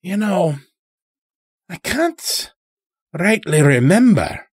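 Man voicing a weary old rustic werewolf elder, higher-pitched. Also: very animated, extremely slow, slightly rough, no room sound, studio quality, exaggerated voice. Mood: wry, weary. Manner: folksy, rustic, ungrammatical drawl. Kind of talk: dramatic horror audio storytelling.

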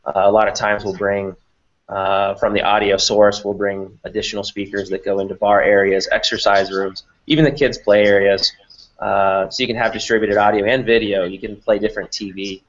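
Low-voiced man on a dull video call. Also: flat delivery, normal speed, fairly bright tone. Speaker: company president, interview guest. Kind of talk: explanatory interview answers on a video call. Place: small office room, indoors. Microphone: computer mic on a video call.

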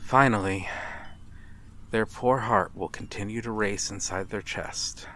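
A man playing a brooding, courteous vampire with quiet menace in a soft-spoken, theatrical ASMR roleplay, dropping into whispers. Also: lowish voice, steady tone, unhurried, a little hiss or hum. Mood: ominous, predatory. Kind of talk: ASMR roleplay, dramatic monologue.

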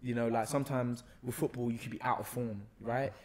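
A young man with a London accent and a mid-range voice. He talks casually into a close podcast mic, telling stories in slangy, expressive phrases. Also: mid-range voice, a little fast. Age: young adult. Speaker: actor, podcast guest. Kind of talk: casual conversational storytelling. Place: bright indoor room, seated on a sofa. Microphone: podcast microphone on a boom arm, close.

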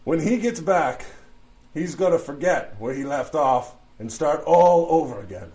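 A male actor plays a weary lawyer condemned to hell in a fantasy audio comedy. He has a slightly high male voice and a dry, sarcastic, long-suffering delivery. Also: expressive, unhurried, darkish tone. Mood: sardonic, weary. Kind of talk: comic fantasy audio drama acting.

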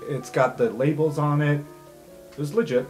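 Man game-mastering, slightly high voice. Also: fairly even intonation, normal speed, darkish tone, faint music behind. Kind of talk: casual improvised tabletop game narration.

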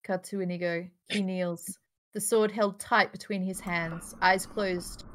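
A woman's voice: fairly low pitch, fairly even intonation, measured pace, a bit bright, a little hiss or hum. Woman reading screenplay stage directions aloud. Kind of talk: live table read of a screenplay, with casual asides. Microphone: home microphone on a group video call.

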